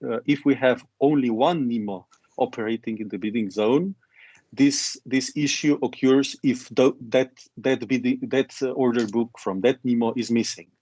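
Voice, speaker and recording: A man presents on a conference call, working through a procedure step by step and often restarting his sentences. His voice is mid-pitched and the sound is dry and close. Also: normal speed, darkish tone, no room sound. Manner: careful, step-by-step explaining with restarts. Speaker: meeting presenter.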